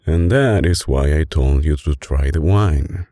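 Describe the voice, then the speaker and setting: A man playing a tender, possessive mafia boss with an Italian accent in an intimate, soft-spoken ASMR roleplay, his voice deep, breathy and husky. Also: dry recording. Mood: calm, conspiratorial. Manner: slow, hushed, coaxing, with dramatic pauses. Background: music.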